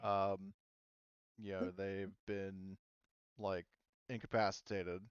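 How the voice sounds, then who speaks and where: Low, smooth-voiced man as game master. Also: level tone, takes it slowly, no echo, muffled recording. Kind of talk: casual tabletop game refereeing.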